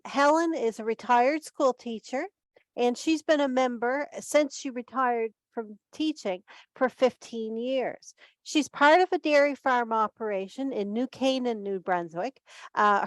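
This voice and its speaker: A woman's voice: fairly high pitch, no room sound. A woman casually hosting an online group meeting and introducing guests.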